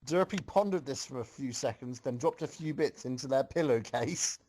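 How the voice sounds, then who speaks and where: Male reader, middle pitch, casual amateur storytelling with off-the-cuff asides to a group. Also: normal speed, darkish tone. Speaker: reader for a comedy fan fiction group.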